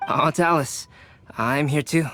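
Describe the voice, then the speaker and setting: A man playing a composed, polite young scholar in a video game, measured and articulate in his reasoning. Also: a little high, a bit bright, soft background music.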